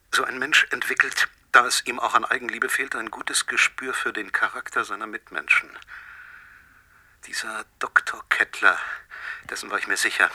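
A cold, vengeful man in a radio drama, with a piercingly tense, rough-edged voice. He phrases his threats politely and deliberately, savouring each taunt like someone long overlooked. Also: medium pitch, sharp tone. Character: vengeful male stranger, self-styled nobody, coldly menacing caller. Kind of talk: radio play acting.

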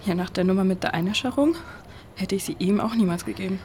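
Woman playing a young best friend, average pitch, casual and caring, gently pushy, audio drama. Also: fast talker, slight background noise, natural voice. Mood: thoughtful. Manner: casual, coaxing. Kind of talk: naturalistic audio drama dialogue.

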